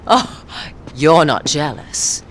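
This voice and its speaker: A woman's voice: low-pitched, sing-song, slow, a little hiss or hum, heavily acted. An actress playing a flirtatious, scheming female thief with a sly, teasing manner in a video game cutscene.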